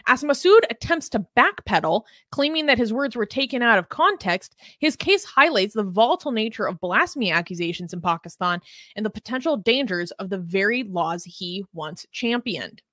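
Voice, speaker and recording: A woman's voice: medium pitch, big pitch swings, normal speed, sharp tone, no echo. A woman in her twenties reading scripted news as a presenter, close on a desk microphone. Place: home room with bookshelves.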